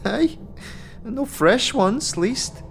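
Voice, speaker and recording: A woman's voice: on the high side, animated, drawn-out words, gravelly, slight background noise, heavily acted. A woman playing a brusque, streetwise young tiefling guide in a fantasy audio drama, with curt, slangy, sardonic delivery. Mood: matter-of-fact, sardonic.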